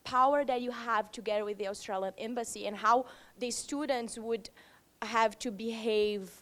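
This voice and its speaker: A woman in the audience asks a question at a conference. Her voice sits a little high, and she repeats herself and fills her speech with fillers. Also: steady tone, normal speed, a bit bright. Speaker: audience member asking a question.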